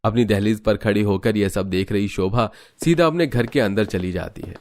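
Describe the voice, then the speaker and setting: A man's voice: on the low side, moderate pace, darkish tone. A man narrating a scripted cartoon story as a storyteller.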